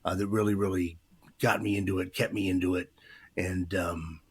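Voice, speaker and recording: Husky-voiced man in his fifties. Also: deep voice, fairly even intonation, normal speed. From Pacific Northwest, USA. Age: 50s. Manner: rambling, many fillers and restarts. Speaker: guest, former radio DJ. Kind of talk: casual interview chat.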